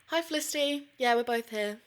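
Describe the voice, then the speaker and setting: A woman's voice: a little high, brisk, close to an everyday voice. A woman playing a practical, friendly podcast co-host in a naturalistic audio drama, casual and understated. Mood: matter-of-fact.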